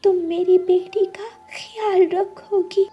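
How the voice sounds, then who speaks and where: A frail mother bird in a children's cartoon played by a woman speaks in a very high, weak voice. She is tender and pleading, drawing her words out slowly over clear background music. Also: fairly even intonation, lo-fi sound. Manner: weak, slow, pleading delivery. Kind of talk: Hindi cartoon character acting.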